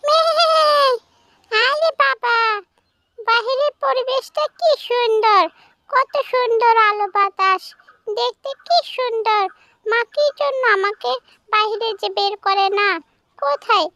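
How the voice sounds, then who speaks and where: A performer voicing a naive, stubborn little goat kid in a children's cartoon, squeaky and childlike, with a wheedling manner. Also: takes it slowly, pushed and bright, no room sound, studio quality, full character voice. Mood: curious wonder. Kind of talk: children's animated story dialogue.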